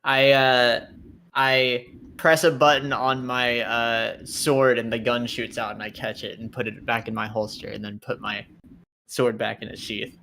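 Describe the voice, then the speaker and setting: A man with an average-pitched voice plays in a tabletop roleplay session. He talks casually about his character and banters with the group. Faint noise is audible behind him. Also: little pitch movement, unhurried, sharp tone.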